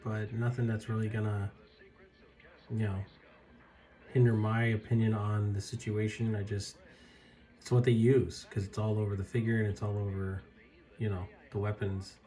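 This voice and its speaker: Low-voiced man casually reviewing a toy. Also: flat delivery, dark tone. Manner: halting, with fillers and admiring asides. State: slightly raspy from recovering illness.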